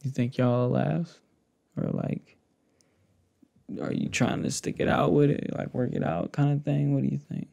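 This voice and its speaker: Slow, gravelly-voiced young man, hushed and intimate. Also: mid-range voice, big pitch swings, highs cut off. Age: young adult. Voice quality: gravelly, breathy.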